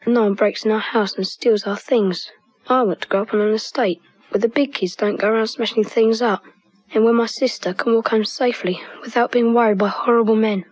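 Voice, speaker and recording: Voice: medium pitch, fairly even intonation, brisk, no room sound, close to an everyday voice. A young performer playing an earnest child in a scripted TV advert monologue. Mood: earnest, wistful. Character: earnest child wishing for a safe neighbourhood. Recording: dull, dry vintage TV soundtrack.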